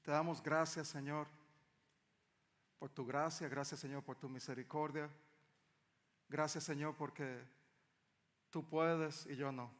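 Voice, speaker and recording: A man's voice: on the high side, steady tone, measured pace, pauses often, fairly bright tone. A man from the Dominican Republic with a Dominican accent, preaching a sermon as a pastor in a conversational way, often posing questions to the congregation. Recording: echoey hall with long reverb.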